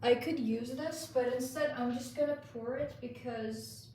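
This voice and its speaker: A woman's voice: a little high, fairly even intonation, measured pace, dark tone, reverberant, distant mic, slight background noise. A young woman, chatting casually in her home kitchen as she bakes, with many fillers. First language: Turkish. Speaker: vlogger. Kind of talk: casual baking vlog narration.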